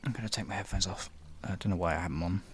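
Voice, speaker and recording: A man's voice: deep voice, steady tone, racing, slight background noise. A man chatting casually and distractedly to his stream viewers in muttered, broken-up phrases while he sets things up. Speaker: live streamer, host.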